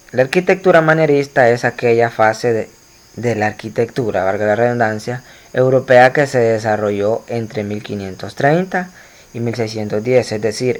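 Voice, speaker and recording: A man lectures his students through a slide presentation, his voice sitting at an ordinary middle pitch. He explains patiently, stopping for fillers and correcting himself as he goes, and addresses the class directly. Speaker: teacher.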